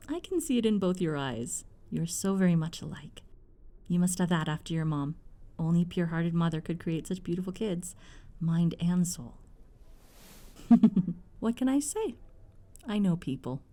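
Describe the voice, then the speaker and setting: A woman's voice: on the low side, expressive, moderate pace, pauses often, warm tone, close to an everyday voice. A woman playing a bubbly, devout middle-aged mother in an audio roleplay, gushing, playful and affectionate. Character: bubbly, devout, teasing mother, soon a grandmother.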